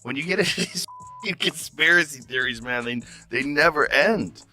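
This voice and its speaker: A man's voice: on the high side, expressive, normal speed, bright, forceful tone. Laid-back man musing over faint music. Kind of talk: casual podcast talk.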